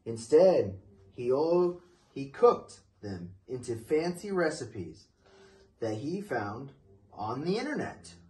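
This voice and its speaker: A young man reading a children's picture book aloud in an expressive, deliberate storytelling manner. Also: mid-range voice, rough recording. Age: young adult. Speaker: storybook reader. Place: living room, indoors.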